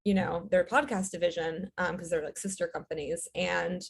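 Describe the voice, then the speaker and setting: Relaxed woman talking through her career. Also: on the low side, moderate pace, creaky, slightly rough. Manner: relaxed, fillers, light laughs. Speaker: guest, writer-director. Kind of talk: casual interview chat.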